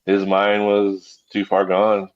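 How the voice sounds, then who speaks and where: A man with a low voice speaks as a guest on a lo-fi call with dulled sound. He is subdued and hesitant, talking casually and personally and often echoing his own short phrases. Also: completely flat delivery, slow pace. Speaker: guest on an online community call. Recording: dull, lo-fi call audio.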